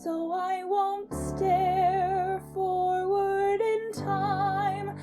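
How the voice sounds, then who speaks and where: Girl as a wistful immortal woman singing really slow and high over loud piano, audio drama. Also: level tone, full character voice. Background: piano music.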